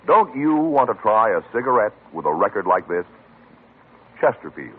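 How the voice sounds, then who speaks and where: A man's voice: on the low side, big pitch swings, normal speed, phone-quality sound, noisy background. A man announcing formally on an old radio broadcast with an emphatic, declamatory delivery.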